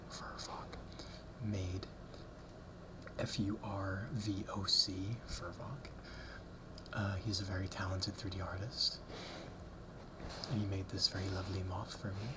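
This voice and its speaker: A man whispering a rambling ASMR talk close to the mic, softly repeating words as triggers, with ums and small nervous laughs. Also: low voice. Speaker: ASMR creator. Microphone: close ASMR mic, brushed.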